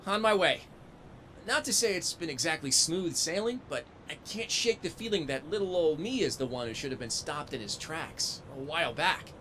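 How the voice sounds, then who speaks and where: A man's voice: a little high, animated, moderate pace, bright, forceful tone, slight background noise. A man playing a modest, eager young robot soldier in a video game, earnest and wry. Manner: earnest, wry, self-deprecating.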